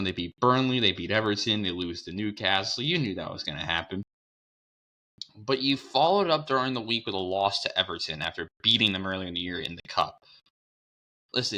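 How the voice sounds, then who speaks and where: A young man with a hoarse voice from a cold, hosting a casual sports preview show, rambling and correcting himself as he goes. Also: lowish voice, pushed and bright. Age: young adult. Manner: rambling, self-correcting, upbeat.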